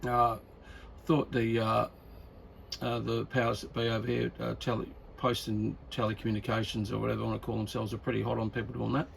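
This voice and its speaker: Gravelly, rambling Australian man. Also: mid-range voice, rough recording. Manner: rambling, halting, thinking aloud. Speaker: radio hobbyist presenter. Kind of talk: casual unscripted product talk. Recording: steady background noise.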